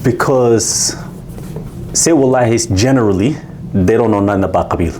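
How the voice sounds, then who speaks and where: A man, co-hosting a casual chat, pushing along with quick questions and short "okay"s. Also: on the low side, a little mellow, slight background noise. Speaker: podcast co-host. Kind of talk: casual interviewing.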